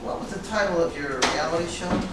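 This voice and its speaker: A man playing a pushy, fretting talent agent in a staged reading, with halting, deliberate delivery. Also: a little high, close to an everyday voice. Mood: flattering, evasive. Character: pushy, fretting male talent agent, accusing then flattering. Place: room at a live staged reading. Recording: echoey room, distant mic, noisy.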